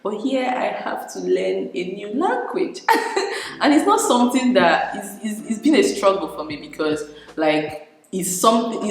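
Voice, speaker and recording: A young woman from Nigeria, a native Igbo speaker, chatting casually to her vlog audience, laughing often and pausing to find her words. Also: on the low side. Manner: chatty, laughs often, frequent fillers and restarts. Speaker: vlogger. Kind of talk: casual vlog talk to camera. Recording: very echoey room with long reverb.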